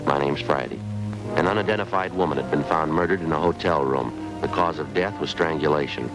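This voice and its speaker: A hard-boiled police sergeant with a very deep voice who narrates in short, clipped, factual lines. He talks at a quick clip. It sounds like a vintage radio drama with music running underneath. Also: poor audio. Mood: flat. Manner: clipped, matter-of-fact. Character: terse male police detective sergeant, narrator. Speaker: detective narrator. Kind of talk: 1950s radio crime drama.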